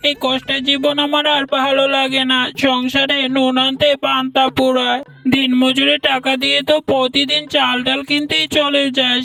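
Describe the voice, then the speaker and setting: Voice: high-pitched, vocal fry, bright, forceful tone, heavily acted. A performer voicing a poor, humble male fox labourer in a cartoon, earnest and plaintive, with a woman's-sounding voice. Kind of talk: cartoon voice acting. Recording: distant voice with music underneath.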